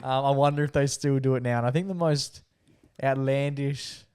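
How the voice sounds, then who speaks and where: A man's voice: medium pitch, fairly even intonation, normal speed, darkish tone, clear, resonant tone. A young man co-hosting a podcast, telling rambling stories in casual chat with some swearing. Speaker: podcast co-host.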